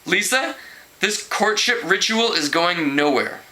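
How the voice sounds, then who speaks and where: A man's voice: high voice, animated, a little slow, pushed and bright, heavily acted, poor audio. A man reading the part of a lovesick, scheming teenage girl in a novel, dramatic, complaining and insistent. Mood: exasperated.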